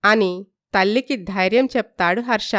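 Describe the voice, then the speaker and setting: A woman's voice: mid-range voice, moderate pace, fairly bright tone, dry recording. A woman narrating a scripted cartoon moral story.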